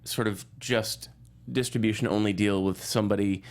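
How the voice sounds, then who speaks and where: Man, talk show guest, fairly low voice, casual panel chat. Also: fairly even intonation, moderate pace.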